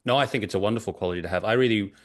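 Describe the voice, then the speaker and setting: A man's voice: on the low side, very fast. A man who is a native English speaker, hosting a casual podcast interview and often repeating words as he frames his questions.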